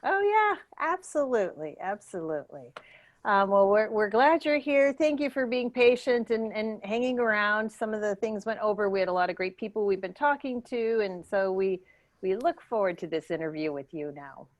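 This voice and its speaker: A woman's voice: medium pitch, moderate pace, close to an everyday voice. Lady playing worn out woman interviewer in an improv sketch, nice and chummy, rambles a lot, medium voice. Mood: polite, rambling. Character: tired, politely upbeat female job interviewer.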